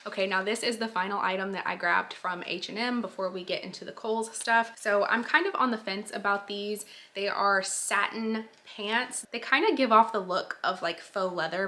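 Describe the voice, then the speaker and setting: A woman's voice: mid-range voice, sharp tone. A woman in her late twenties giving a casual clothing try-on review, chatty with lots of fillers, a bit stuffy from a sinus infection. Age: 20s. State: nasal, recovering from a sinus infection. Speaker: fashion vlogger.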